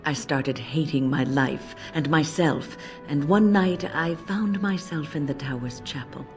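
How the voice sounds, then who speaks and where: Low-voiced wise older mage. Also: female voice, soft background music. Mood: wistful, reflective. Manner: measured, reflective, counselling. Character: wise older female mage, gentle but firm mentor. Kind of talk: dramatic game dialogue.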